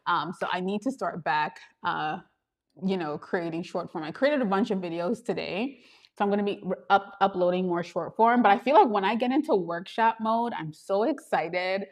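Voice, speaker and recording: A woman's voice: mid-range voice, expressive, moderate pace. A woman with a Trinidadian accent chatting live with viewers as a YouTube coach, bubbly and laughing often, recorded on a small handheld camera. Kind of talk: casual livestream chat with viewers. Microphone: handheld gimbal camera.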